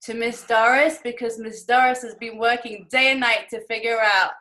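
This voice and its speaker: Lady teacher running an online kids class, high bright voice, keeps prompting everyone. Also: fairly even intonation, moderate pace. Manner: instructing, prompting listeners to answer. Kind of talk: leading children in an online lesson.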